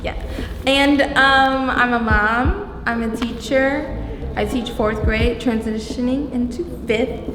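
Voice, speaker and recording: A woman of thirty, a teacher, introducing herself slowly in an interview. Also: fairly high pitch, steady tone, noisy background. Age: 30s. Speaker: teacher, gym member. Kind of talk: casual interview answers. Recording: echoey hall with music behind.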